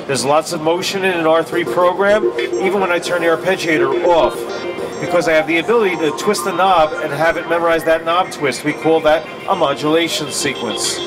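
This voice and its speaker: A man's voice: fairly high pitch, moderate pace, over music. A middle-aged man giving a product demonstration at a trade show booth over a headset mic. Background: synthesizer sounds.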